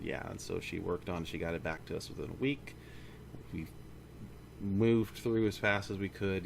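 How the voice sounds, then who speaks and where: Low-voiced, gravelly man giving a casual update. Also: a little hiss or hum. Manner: informal, rambling, self-correcting. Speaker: indie game studio founder. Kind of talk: casual monthly studio update to camera or mic.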